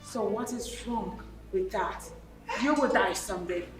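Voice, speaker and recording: A woman's voice: high voice, expressive, unhurried. A middle-aged woman with a Nigerian accent playing a hard, cold-hearted widowed mother who answers back dismissively. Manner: dismissive and defiant. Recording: echoey room, voice off-mic, faint music.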